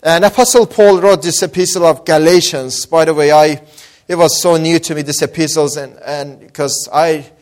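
A man's voice: a little high, fairly even intonation, moderate pace. Man preaching with a mixed Azeri-Persian-Australian accent. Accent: Azeri, Persian and Australian mix. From Azerbaijani-Iranian background. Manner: builds from soft opening to raised emphatic preaching; hesitant fillers between phrases. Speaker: guest preacher. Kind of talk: sermon with scripture reading and prayer.

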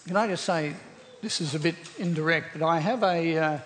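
A man preaching a sermon in a conversational way, often checking in with his listeners, with a croaky voice. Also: a little high, normal speed, a bit bright, big-room sound, slight background noise. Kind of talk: sermon to a congregation.

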